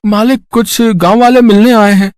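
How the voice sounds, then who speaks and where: A man's voice: high voice, steady tone, moderate pace, a little hiss or hum, pitched above the performer's own voice, close to an everyday voice. A man voicing an eager, respectful village underling in a moral-story cartoon. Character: eager, deferential male servant or villager.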